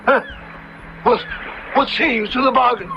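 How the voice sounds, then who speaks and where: An actor playing a cunning, covetous misshapen monster in a radio horror drama, speaking in archaic, theatrical, wheedling phrases with a strained voice. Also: male voice, very high-pitched, measured pace. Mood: sly bargaining. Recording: muffled vintage radio broadcast with music.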